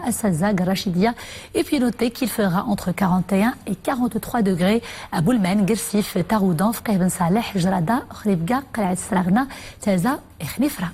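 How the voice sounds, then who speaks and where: Woman, news anchor, mellow, husky, formal scripted delivery. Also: mid-range voice.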